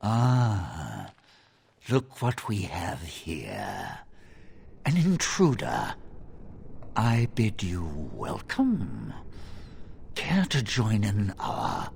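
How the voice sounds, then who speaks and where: Slow, gravelly, swooping demonic villain. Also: male voice, lowish voice, wildly swooping pitch, darkish tone, a little hiss or hum, heavily acted. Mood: mocking welcome. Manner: theatrical, leisurely, mock-polite. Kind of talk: fantasy video game villain acting.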